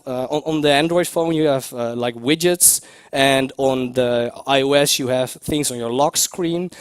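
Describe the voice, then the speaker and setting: A man giving a conference talk, a little hoarse from a cough, hesitant with many fillers and restarts. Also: a little high, no echo. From Netherlands. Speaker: conference speaker. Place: lecture room at a tech conference.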